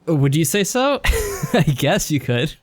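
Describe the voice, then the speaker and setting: A man's voice: on the high side, huge pitch swings, slow. A man co-hosting a podcast, casually reviewing and summing up games in a conversational way. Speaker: podcast co-host. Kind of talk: casual review and plot summary.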